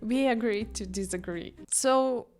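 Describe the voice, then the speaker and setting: A woman's voice: on the high side, measured pace, faint music behind. A young woman from Kyrgyzstan with a Russian accent, narrating a personal essay on her channel in a reflective way, with light laughs. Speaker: channel host.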